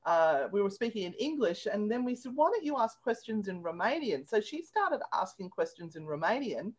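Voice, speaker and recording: A woman's voice: medium pitch, sing-song, normal speed, a little mellow, slightly rough, rough recording. A woman, a guest telling stories in a playful, chatty way and laughing often. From Australia. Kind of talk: casual chat.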